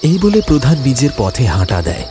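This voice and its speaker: A man's voice: mid-range voice, wildly swooping pitch, fairly quick. Man narrating horror, dramatically swooping delivery. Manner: dramatic, suspenseful. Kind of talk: scripted horror story narration. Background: music, insect sounds.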